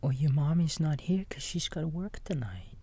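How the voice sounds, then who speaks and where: A man playing a kindly raccoon babysitter in a bedtime ASMR roleplay, whispering softly and gently reassuring a small child in a folksy, halting way. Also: fairly high pitch, expressive. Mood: soothing, playful. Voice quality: soft, breathy whisper. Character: kindly big-brotherly male raccoon babysitter.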